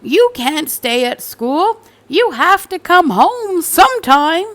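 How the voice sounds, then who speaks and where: A woman voicing an exasperated, worried father in a children's storybook, loud and emphatic. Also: very high voice, sing-song, slow, voice pushed up, full character voice. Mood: exasperated, yelling. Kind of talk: children's storybook read-aloud.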